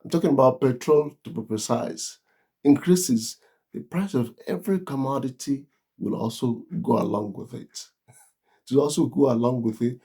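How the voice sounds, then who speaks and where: A man's voice: mid-range voice, normal speed, dark tone, breathy edge. A Nigerian man with a Nigerian accent, talking to camera in a casual vlog and giving earnest, emphatic warnings with repeated phrases. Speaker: vlogger.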